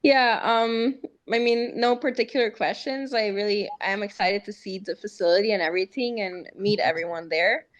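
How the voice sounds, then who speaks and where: A young woman, a guest on a video call, answering questions softly and laughing often. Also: mid-range voice, moderate pace, few pauses, dull audio. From United States. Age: young adult. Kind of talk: casual interview over video call.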